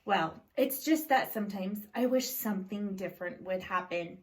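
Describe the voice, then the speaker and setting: A woman voicing a tender-hearted, adventurous wife in a children's storybook, with a gentle, cooing, wistful manner. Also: mid-range voice, moderate pace, close to an everyday voice, low-quality recording.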